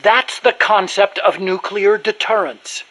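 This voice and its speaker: An actor playing an earnest, talkative American male nuclear scientist in a video game, explaining things in an animated manner. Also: high-pitched, a little slow, full character voice. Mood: indignant, insistent.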